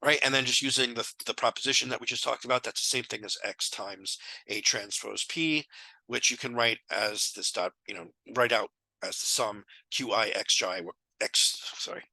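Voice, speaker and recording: A man in his thirties with a hoarse voice because he is sick, casually talking through a math lesson with many fillers, recorded on a headset in a small room. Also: medium pitch, moderate pace, bright, forceful tone, no echo, low-quality recording. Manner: casual, thinking aloud with fillers. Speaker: math tutor. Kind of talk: casual lecture walkthrough.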